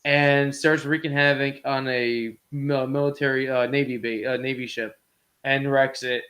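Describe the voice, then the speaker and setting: A man's voice: mid-range voice, fairly even intonation, measured pace, fairly bright tone. A man co-hosting a casual movie chat, rambling informally and often searching for his words. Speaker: podcast co-host.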